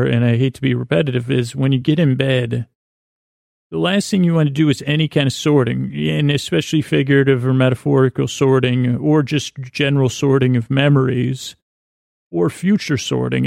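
Male podcast host, very gravelly fry, dry close sound, halting and mumbly, whimsical self-deprecating bedtime rambles. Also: mid-range voice, steady tone, darkish tone, dry recording. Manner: meandering, halting, stammering and repeating words.

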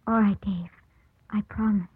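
A woman's voice: medium pitch, fairly even intonation, moderate pace, noisy background, close to an everyday voice. An actress playing an earnest, composed woman lawyer in a vintage radio drama, speaking formally and emphatically. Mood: concerned. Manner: formal, emphatic courtroom oratory. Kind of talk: 1940s-50s radio drama acting. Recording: muffled old radio broadcast.

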